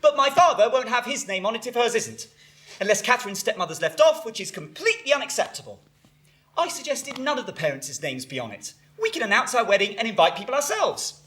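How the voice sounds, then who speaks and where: A man's voice: extremely high pitch, big pitch swings, fairly quick, fairly bright tone, full character voice. A man performing a theatrical stage monologue as a frantic, exasperated bridegroom, with an emphatic way of talking. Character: frantic, exasperated bridegroom venting about family feud.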